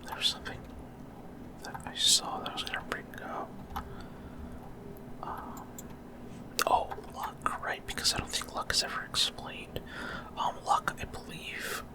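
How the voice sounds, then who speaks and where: A man whispering casual, rambling gameplay commentary for ASMR in a breathy, unvoiced whisper, often hesitant as he searches for words. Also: unhurried, long pauses. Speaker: gaming ASMR creator.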